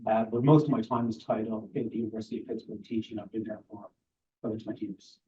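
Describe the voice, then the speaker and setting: A man in his forties with an Irish accent, answering interview questions at a council meeting in a measured, courteous way. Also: on the low side, flat delivery, warm tone. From Ireland. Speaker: board applicant. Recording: tinny, distant council-room audio.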